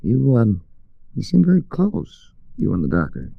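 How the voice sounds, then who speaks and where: A male actor with an American accent playing a tough, smitten adventurer hero who narrates his own story in measured 1940s radio drama style. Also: mid-range voice, huge pitch swings, vocal fry, dry recording, phone-quality sound, exaggerated voice. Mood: intimate, probing. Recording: restored 1940s radio recording.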